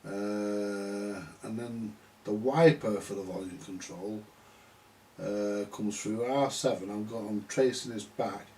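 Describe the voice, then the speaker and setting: A man giving halting, unscripted commentary at a workbench, muttering to himself as he works things out. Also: fairly low pitch, fairly even intonation, a little mellow. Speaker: vintage radio repair hobbyist. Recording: distant mic with background hiss.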